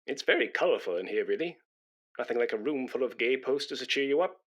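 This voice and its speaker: An actor playing a pompous, nervous British chatterbox in a comic radio play, rambling and stammering when flustered. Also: male voice, medium pitch, lively intonation, fast talker, close to an everyday voice. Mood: wry, musing. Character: pompous, nervous, chattering British man come to give blood. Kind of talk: comic radio play acting before an audience.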